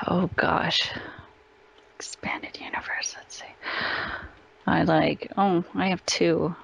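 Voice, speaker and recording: A woman's voice: lowish voice, level tone, extremely slow, creaky, long pauses, bright, forceful tone, slightly rough, in a whisper, poor audio. A woman who works as a voice actress, chatting casually as an interview guest.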